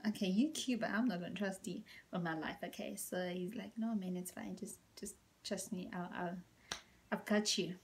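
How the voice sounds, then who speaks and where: A young woman telling a story in a casual, animated way, acting out quotes and dropping into whispered asides, her voice deep and tired after a workout. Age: young adult. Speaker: vlogger.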